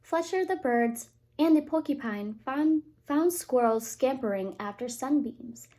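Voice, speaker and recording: High-voiced woman reading storytime to children. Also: unhurried. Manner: expressive, addresses children directly. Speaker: teacher reading a picture book to young children. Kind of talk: read-aloud storytime with asides to children.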